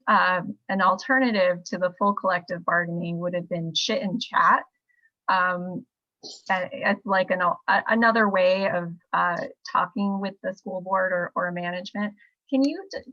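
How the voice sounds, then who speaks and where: A woman's voice: medium pitch, no room sound. Woman asking a panel questions over a video call, muffled dry audio. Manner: polite, stumbling over words.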